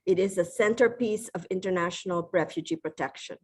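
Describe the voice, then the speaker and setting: A middle-aged woman from the Philippines delivers a prepared acceptance speech. Her voice sits a little low for a woman, and she speaks in a measured, formal manner, now and then stopping to restart a phrase. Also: husky. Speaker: award recipient giving a speech.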